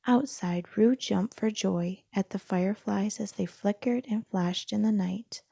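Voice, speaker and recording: Female storybook narrator: gentle, unhurried, some creak in the voice, close and dry. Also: mid-range voice, dry recording. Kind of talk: scripted children's story read-aloud.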